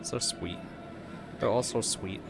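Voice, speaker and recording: A man's voice: on the low side, slow pace, creaky, over music. A man giving casual, offhand reaction commentary as a game streamer.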